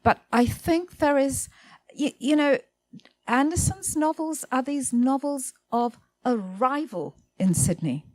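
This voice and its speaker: A woman speaking on a literary panel, thinking aloud in a halting, self-correcting way. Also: high-pitched, expressive, a little mellow, no echo.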